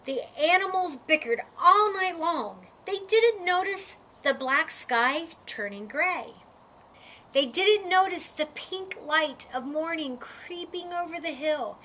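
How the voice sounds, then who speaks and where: A woman reading a children's picture book aloud in an expressive, deliberate storytelling way. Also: sits high, a little hiss or hum. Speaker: story time reader.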